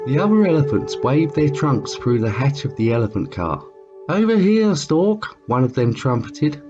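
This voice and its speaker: A man reading a children's story aloud as a storyteller, with theatrical, drawn-out emphasis. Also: medium pitch, animated. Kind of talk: expressive read-aloud storytelling. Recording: muffled lo-fi sound with music behind. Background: music.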